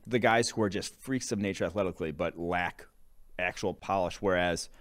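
A young man with a very low voice, co-hosting a casual football podcast. He rattles off long lists of prospects and rankings. He is from Wisconsin. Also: normal speed. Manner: animated, rattles off player lists. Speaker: podcast co-host, draft analyst. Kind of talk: casual sports analysis chat.

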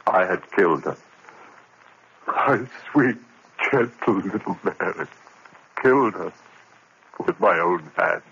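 A man's voice: mid-range voice, animated, unhurried, fairly bright tone, slightly rough. An actor playing a tormented male college lecturer losing his mind, in an intense 1940s radio drama delivery. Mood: haunted. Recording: muffled, faintly hissy old radio recording.

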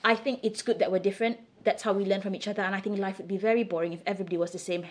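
A woman's voice: medium pitch, steady tone, very fast. A middle-aged woman from Singapore answering interview questions in a candid, emphatic, conversational way. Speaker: interview guest.

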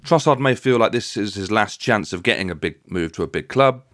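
Male podcast host, lowish dry voice. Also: expressive, fairly quick, fairly bright tone, dry recording. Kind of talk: casual football discussion.

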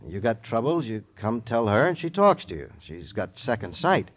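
Cocky streetwise witness, animated. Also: male voice, mid-range voice, normal speed. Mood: defensive, rambling. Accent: American. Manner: slangy, brash, rambling. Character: evasive, cocky, fast-talking wounded male witness, streetwise. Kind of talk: 1950s American radio crime drama acting. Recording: muffled old radio recording.

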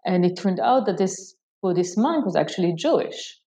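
A woman's voice: on the low side, animated, moderate pace, warm tone. A woman with an Israeli accent whose first language is Hebrew, a scholar answering interview questions thoughtfully, with many ums and restarts. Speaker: scholar guest.

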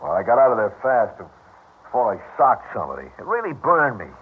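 A young American cement worker and husband in an old radio play. He is hot-tempered and devoted, with rough, repetitive phrasing and big swings in pitch. The recording is dull and faintly noisy. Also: wildly swooping pitch, moderate pace. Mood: indignant. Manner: rough working-class speech with stammered repeats. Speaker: actor. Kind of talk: classic radio drama acting.

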